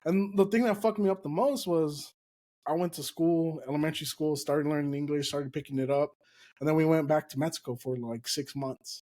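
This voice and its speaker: A man from California whose first language is Spanish. He is a comedian on a podcast, telling stories from his childhood in a casual chat, and his voice sits a little high. He swears casually as he goes. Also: fairly quick, a little mellow. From California, USA. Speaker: comedian guest.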